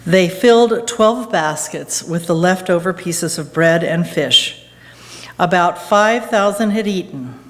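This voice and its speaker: A woman reading scripture aloud in a measured, deliberate way. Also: fairly low pitch, animated. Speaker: scripture reader. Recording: long echoing church-hall reverb.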